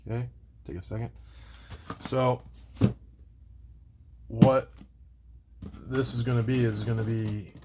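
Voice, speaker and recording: A man's voice: medium pitch, fairly even intonation, takes it slowly, long pauses. Rambling man reviewing products over noisy audio. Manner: rambling, repeats and circles back over points. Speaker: video host doing product unboxing and review. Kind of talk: casual unscripted product review.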